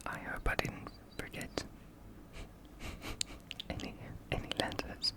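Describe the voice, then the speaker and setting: A speaker from Finland with a Finnish accent, whispering an ASMR video softly and slowly, with small laughs. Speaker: ASMR creator.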